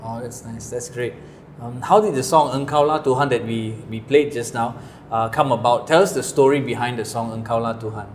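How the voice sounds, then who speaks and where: A man's voice: medium pitch, a little fast, a little hiss or hum. A man hosting an interview, asking questions and giving brief, friendly affirmations. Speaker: interview host.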